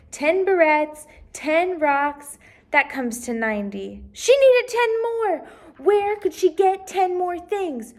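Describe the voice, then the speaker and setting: A woman with a fairly high voice reads a children's storybook aloud. She narrates expressively, as a storyteller who draws young listeners in with questions. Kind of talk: children's picture book read-aloud.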